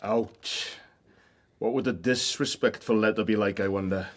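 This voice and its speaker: An actor playing a dry, wry drinking companion in a radio drama, with a sardonic, laconic manner. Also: male voice, on the low side, fairly even intonation, normal speed, lightly acted.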